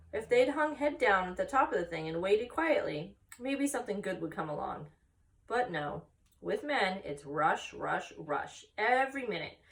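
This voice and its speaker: A woman's voice: medium pitch, recorded from a distance. A woman voicing a wise, frank and kindly female spider from a storybook, explaining things in a matter-of-fact, instructive way.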